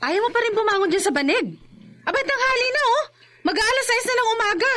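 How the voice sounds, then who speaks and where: A woman playing a nagging, exasperated wife in a radio drama, scolding with a sharp tongue. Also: extremely high pitch, lively intonation, quick pace, full character voice. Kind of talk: emotive radio drama acting.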